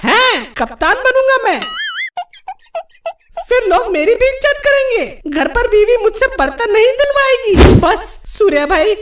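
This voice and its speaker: Very high, whiny cartoon cricket batsman. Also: very animated, measured pace, slight background noise, exaggerated voice. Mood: excited, boastful. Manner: whiny, exclaiming. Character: timid, whining, boastful hapless male cricket batsman. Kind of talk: comic cartoon voice acting. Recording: distant voice under loud music.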